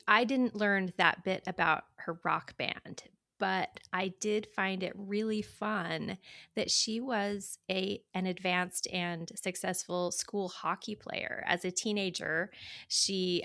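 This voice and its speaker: A woman's voice: on the low side, creaky, bright, forceful tone, dry recording, studio quality. A woman co-hosting a podcast, chatting about books in a casual, reflective, conversational way. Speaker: podcast co-host. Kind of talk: casual book discussion.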